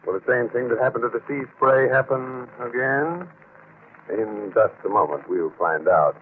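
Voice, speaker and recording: An actor playing a sinister, teasing host who narrates a vintage radio mystery. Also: male voice, mid-range voice, moderate pace, natural voice. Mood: ominous. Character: sinister, teasing horror-show host taunting listeners. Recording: tinny, noisy old-time radio recording.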